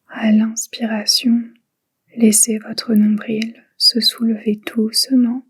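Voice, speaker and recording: A woman leads a guided relaxation in a very breathy, whispered tone. Her delivery is extremely slow, with short phrases set apart. The melody stays quite flat and soothing throughout. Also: a little high. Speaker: guided meditation instructor. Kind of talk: guided relaxation meditation, soft and unhurried.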